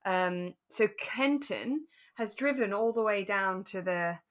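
Woman in thoughtful, relaxed podcast chat. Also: medium pitch, slow pace. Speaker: podcast co-host. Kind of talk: relaxed chat recapping a radio drama.

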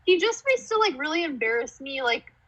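A woman's voice: high voice, very animated, brisk, a bit bright. A young woman comedian, born in Romania and raised in Canada and the US, chatting casually as a podcast guest, giggly and rambling with lots of "like".

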